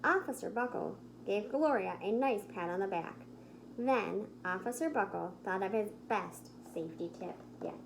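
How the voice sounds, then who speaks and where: A woman reading a children's picture book aloud in an expressive, emphatic storytelling manner. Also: mid-range voice, normal speed, creaky, slight background noise, poor audio. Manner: animated, emphatic, acts out the dialogue.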